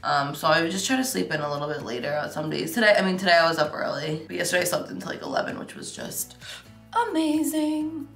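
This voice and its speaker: A woman's voice: low voice, sing-song, fast talker, a bit bright, roomy sound, faint music behind. A young woman vlogging casually while doing her makeup at home, rambling and chatty with lots of fillers. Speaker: beauty vlogger. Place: home makeup room, indoors.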